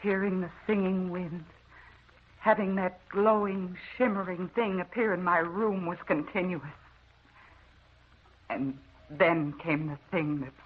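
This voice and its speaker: An actress playing an anxious, haunted wife in a melodramatic old-time radio drama. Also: female voice, on the low side, unhurried, breathy edge. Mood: haunted, brooding. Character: anxious, haunted wife recounting supernatural terrors. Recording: muffled, noisy old radio recording.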